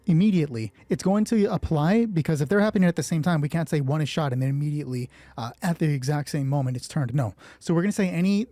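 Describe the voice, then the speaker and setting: Husky, mellow man running a solo tabletop game. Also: on the high side, dry recording. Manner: thinks aloud, swears casually. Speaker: solo roleplay game master. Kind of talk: casual running commentary on dice rolls.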